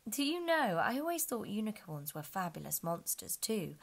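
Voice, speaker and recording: A woman's voice: mid-range voice, very animated, moderate pace, vocal fry, voice pushed up. A woman reading as a polite, earnest girl. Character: earnest, polite, wide-eyed young girl.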